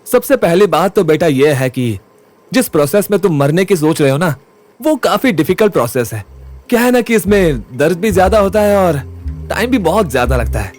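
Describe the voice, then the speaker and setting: A cocky, sarcastic college lad, played by a man in a comic audio drama. His voice runs high over a soft music bed. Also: very animated, moderate pace. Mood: mocking. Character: cocky, sarcastic young male college amateur sleuth.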